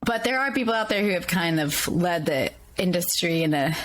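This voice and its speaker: Lower-voiced animated woman hosting a chat. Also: normal speed. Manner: animated, informal, wry. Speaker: podcast host. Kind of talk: casual chat. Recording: clear background noise, mouth clicks.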